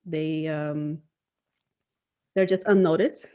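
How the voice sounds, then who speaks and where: Female design manager, lowish voice, thoughtful and conversational, checks in with "right?". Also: fairly even intonation, slow pace, mellow, clear, resonant tone, lo-fi sound. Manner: thoughtful, frequent "right?" tags. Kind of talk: casual conversation.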